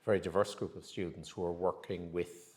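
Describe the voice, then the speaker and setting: A man's voice: sits low, fairly even intonation, fairly quick. A man hosting an academic interview, asking friendly, encouraging questions. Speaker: interview host.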